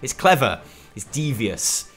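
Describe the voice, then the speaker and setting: A man's voice: medium pitch, sing-song, unhurried, a bit bright, faint music behind. A man hosting a gaming news show, giving scripted comic commentary in a theatrical, emphatic, sweary way.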